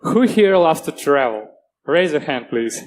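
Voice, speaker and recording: A man with a Russian accent giving a technical security talk on a conference stage, earnest and plain-spoken, with halting restarts. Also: a little high, sing-song, a little mellow, low-quality recording. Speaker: conference speaker.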